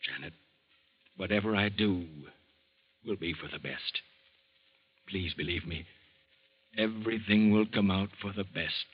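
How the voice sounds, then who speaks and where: An actor playing a gentle, worn-out older uncle who speaks softly and haltingly in an old radio drama. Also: male voice, low-pitched. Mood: tender. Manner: soft-spoken, halting. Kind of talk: 1940s radio acting. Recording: dull old radio recording.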